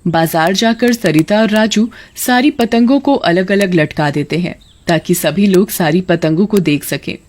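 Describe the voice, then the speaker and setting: A woman's voice: on the low side, a little slow, hardly any pauses, darkish tone, a little hiss or hum. Woman narrating a children's moral story.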